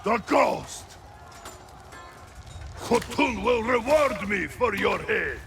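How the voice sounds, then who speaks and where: An actor playing a menacing, boastful Mongol warrior in a video game, taunting an enemy. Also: male voice, high-pitched, lively intonation, very slow, vocal fry, a bit bright, soft background music, heavily acted. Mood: gloating menace. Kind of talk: video game cutscene acting.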